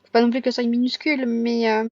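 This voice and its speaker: A young woman with a cold and a hoarse voice, chatting casually over her gameplay with lots of pauses and self-corrections. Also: fairly high pitch, level tone, darkish tone, dry recording, muffled recording. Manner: hesitant, many filler pauses and self-corrections. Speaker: gaming vlogger.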